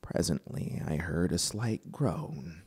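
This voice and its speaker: A man's voice: low-pitched, slow pace, a little mellow, husky, heavily acted. A man performing a deranged, gloating murderer who tells his own horror story, theatrical and swinging from whispers to outbursts. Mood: dark, brooding. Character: deranged murderous male narrator, gloating and obsessive. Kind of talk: dramatic horror story reading.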